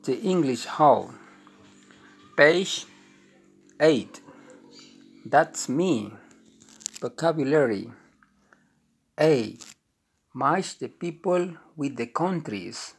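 A man reading an English course book aloud, calling out words and items in a slow, deliberate way. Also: on the high side, animated, gravelly, slightly rough. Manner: slow, deliberate listing with rising and falling item calls. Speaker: textbook audio reader.

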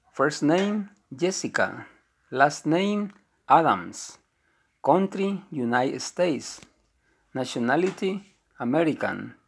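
A man reading an English course book aloud, calling out words and items in a slow, deliberate way. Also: a little high, vocal fry, breathy edge. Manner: slow, deliberate listing with rising and falling item calls. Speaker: textbook audio reader.

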